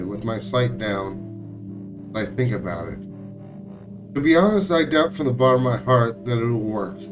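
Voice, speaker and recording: A man streaming a visual novel, reading its text aloud in a somewhat halting way with occasional stumbles. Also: mid-range voice. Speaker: game streamer.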